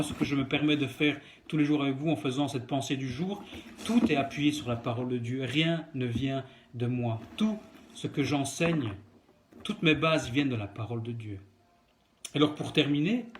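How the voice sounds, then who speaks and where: Man giving an earnest devotional. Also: medium pitch. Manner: earnest, emphatic repetition and direct address. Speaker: preacher giving a daily devotional. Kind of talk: devotional talk, exhortation addressed to the listener.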